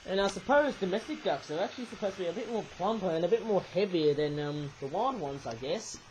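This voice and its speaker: A man's voice: high voice, expressive, fast talker, a little mellow, a little hiss or hum, rough recording. A young Australian man casually reviewing toys, rambling on and often tagging his sentences with "eh?". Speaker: toy reviewer. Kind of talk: casual toy review monologue.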